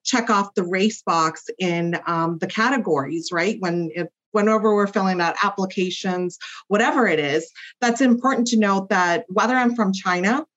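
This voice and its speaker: A woman's voice: mid-range voice, moderate pace, fairly bright tone. A woman clinical psychologist explaining as a podcast guest, with many fillers and tag questions. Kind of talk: podcast interview. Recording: muffled lo-fi audio.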